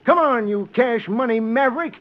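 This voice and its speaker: A very high-pitched gruff, scheming bounty hunter from an old radio western. He threatens and bargains in a folksy American Western drawl. The sound is dull, lo-fi 1940s radio. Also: normal speed, background noise.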